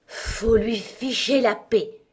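A woman performs an ancient, grumbling storybook frog. The voice is husky and hoarse, dragging through rustic phrases in a slow drawl. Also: fairly high pitch, pitched above the performer's own voice, exaggerated voice. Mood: muttering.

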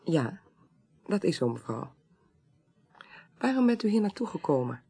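A woman playing a composed, courteous court clerk who investigates a death in a radio drama, brisk and formal. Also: on the low side, sing-song, vocal fry, mellow, husky, heavily acted. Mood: formal, inquiring. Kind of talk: naturalistic Dutch radio drama acting.

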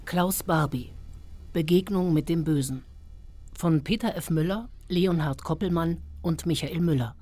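Low-voiced female radio announcer. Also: unhurried, a little mellow. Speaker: programme announcer. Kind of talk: scripted radio title announcement.

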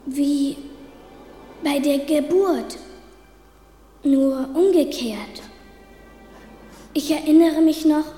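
A woman playing a gentle, wistful and eager boy ghost in a German audio drama. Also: sits high. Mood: sad, wistful. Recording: echoey hall, music behind.

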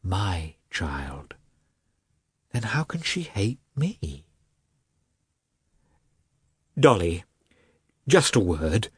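A man's voice: sits low, very animated, takes it slowly, breathy edge, dry recording, clean studio sound, heavily acted. A man voicing a muttering, guilty husband. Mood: muttering, pitiful. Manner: muttering, piteous, quiet. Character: guilty, self-pitying husband, middle-aged nobleman.

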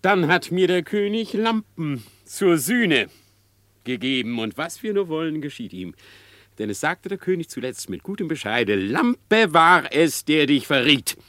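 An actor playing a sly, smug, murderous fox in classic radio drama, with an unctuous, theatrical delivery that savours each phrase. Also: male voice, on the high side, very animated, unhurried, bright, forceful tone, no echo, heavily acted. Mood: scheming.

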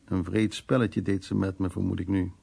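A man's voice: deep voice, flat delivery, moderate pace, gravelly, warm tone, slightly rough, heavily acted. An actor playing an evasive, hard-drinking man in a radio drama, telling his stories in a rambling, teasing way. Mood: reflective, storytelling. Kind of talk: Dutch radio drama acting.

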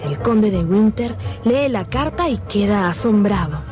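A woman's voice: medium pitch, animated, unhurried, music in the background. A woman narrator delivering dramatic scripted narration for a radio drama.

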